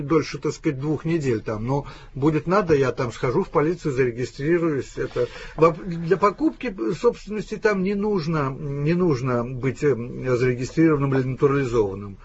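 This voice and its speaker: A man guest in a radio interview, explaining his views in a measured way with frequent restarts, from Russia. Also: medium pitch, breathy edge. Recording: dull lo-fi radio recording.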